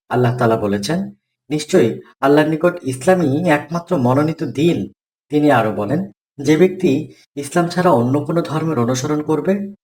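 A pious father from a moral cartoon, voiced by a man, lectures in a solemn, didactic way. His voice sits slightly high for a man and. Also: darkish tone, close to an everyday voice. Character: pious, earnest father admonishing his son's young friends. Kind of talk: children's moral cartoon dubbing, preachy.

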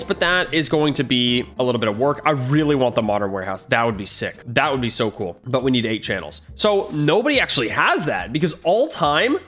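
A young man with a high, bright, lively voice talks through a video game, reacting fast and joking. He speaks into a headset mic at home, with faint music under him. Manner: excitable, jokey, fast reactions. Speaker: gaming YouTuber. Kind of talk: casual gameplay commentary. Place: home gaming room, indoors.

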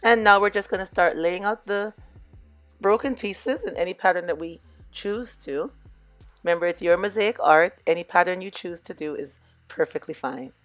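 A hoarse, somewhat low-pitched woman talks viewers through a crafting project in a relaxed, chatty way. Her voice sounds a little under the weather. Soft music plays faintly underneath. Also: normal speed, dry recording. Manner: relaxed, chatty, addresses viewers as "you guys". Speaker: DIY crafts vlogger. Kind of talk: casual crafting tutorial voice-over.

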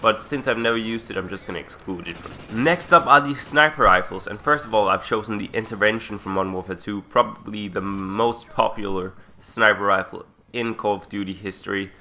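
Sick young man, bright voice. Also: on the low side, fairly quick, few pauses, slight background noise. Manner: rambling, self-correcting, chatty. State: sick with sore throat. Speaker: gaming commentator. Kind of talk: casual gameplay commentary.

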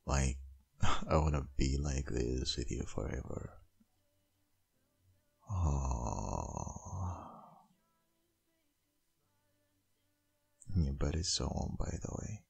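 A man playing a tender, possessive dominant boyfriend in an intimate ASMR roleplay, murmuring in a gravelly, breathy, half-whispered voice. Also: very deep voice, animated, darkish tone, full character voice. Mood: tender, affectionate. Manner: slow, murmuring, lingering.

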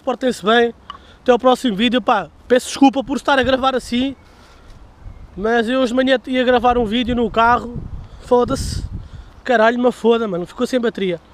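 A man in his thirties with a European Portuguese accent, vlogging outdoors on a dirt path, talking loosely to a handheld camera while walking and drinking a beer, swearing freely. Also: extremely high pitch. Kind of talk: casual vlog to camera. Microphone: handheld camera at arm's length.

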